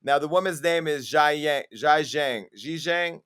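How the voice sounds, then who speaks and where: A man hosting a talk show, telling gossip stories in a chatty way with quick asides. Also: on the high side, a bit bright, clear, resonant tone. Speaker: host. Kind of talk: casual talk-show chat.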